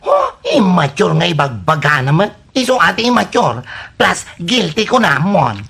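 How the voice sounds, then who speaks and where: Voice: medium pitch, wildly swooping pitch, moderate pace, vocal fry, fairly bright tone, husky, full character voice. A performer with an Ilocano accent playing a nagging, scornful scolder in a comedy radio drama, taunting and exclaiming.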